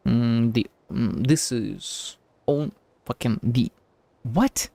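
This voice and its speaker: A man's voice: medium pitch, extremely slow, creaky, dark tone, no echo. A man streaming a game with casual live commentary, musing and rambling as he goes, swearing casually. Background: game music.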